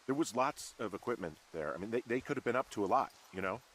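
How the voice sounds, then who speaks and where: An American actor playing a weary, uneasy fire lookout in a video game, speaking plainly in halting, muttered conversation. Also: male voice, on the low side, expressive, fairly bright tone, a little hiss or hum. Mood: doubtful, defensive. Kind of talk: naturalistic game dialogue.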